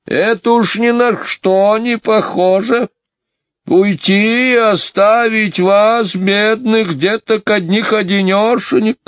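A gossipy, fussy housekeeper woman from a children's storybook, acted out by a man. The voice is pitched high, with sing-song, gushing exclamations. The sound is close and dry. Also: lively intonation, a little slow, no echo. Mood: gushing pity. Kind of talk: audiobook character voice.

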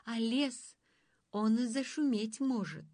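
A woman voicing a calm, steady old oak-tree spirit. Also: on the high side, takes it slowly, no room sound, pitched above the performer's own voice.

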